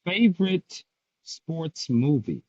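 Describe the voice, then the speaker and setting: A man's voice: fairly high pitch, lively intonation, slow, darkish tone. A man hosting a casual podcast interview, firing off playful questions with some slang. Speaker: podcast host. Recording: dull lo-fi sound.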